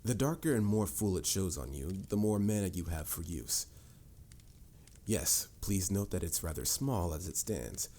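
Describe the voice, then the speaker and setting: A man's voice: low voice, lively intonation, measured pace, dark tone, a little hiss or hum. A man playing a confident, patient mage mentor in a fantasy audio roleplay, instructive and slightly formal in a theatrical way. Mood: calm, instructive. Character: confident adult male mage mentor, patient, boastful, encouraging. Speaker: voice actor. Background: music.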